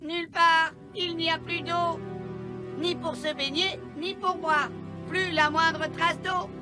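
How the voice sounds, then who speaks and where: A small alien from a desert world in a French cartoon. The voice is very high and courteous, with formal, earnest explanations. Music plays clearly behind it. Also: female voice, little pitch movement, takes it slowly. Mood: grave. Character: polite, earnest alien inhabitant of a parched desert planet.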